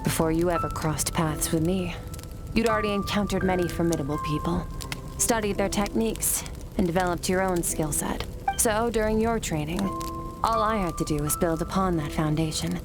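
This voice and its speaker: Low-voiced, aloof warrior master, acted by a woman. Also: lightly acted. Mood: cool, composed. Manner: measured, haughty, matter-of-fact. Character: aloof, powerful female sword master from the void. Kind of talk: scripted video game acting. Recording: loud music over the voice.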